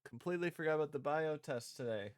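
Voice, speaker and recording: A man casually streaming as he works on a game script, reading lines aloud and rewording them in a halting way. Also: fairly high pitch, lively intonation, clear, resonant tone, no room sound, faint music behind. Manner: halting, thinking out loud, repeating and rewording lines. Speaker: streamer developing own visual novel.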